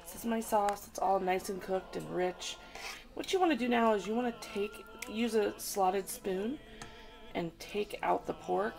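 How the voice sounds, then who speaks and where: Chatty woman with a gravelly voice. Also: mid-range voice, measured pace, darkish tone, faint music behind, poor audio. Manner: chatty, instructive, with fillers. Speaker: home cook filming herself. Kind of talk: casual cooking demonstration. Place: home kitchen. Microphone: handheld camera. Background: food sizzling in a pan.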